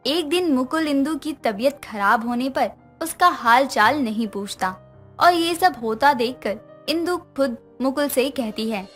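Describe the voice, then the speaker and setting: A woman's voice: high-pitched, expressive, unhurried, fairly bright tone, faint music behind. A woman reading scripted narration of a family moral story.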